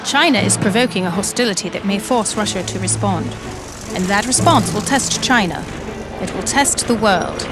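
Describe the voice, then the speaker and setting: An actress playing a grave, alarmed news commentator in an audio drama soundbite, dramatic and deliberate. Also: female voice, mid-range voice, loud music behind the voice, natural voice.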